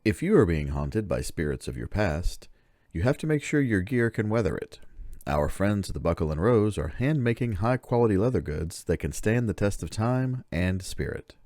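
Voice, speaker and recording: A man's voice: lowish voice, big pitch swings, moderate pace, a little mellow, no echo, clean studio sound. A man announcing scripted sponsor messages and introductions for a radio play.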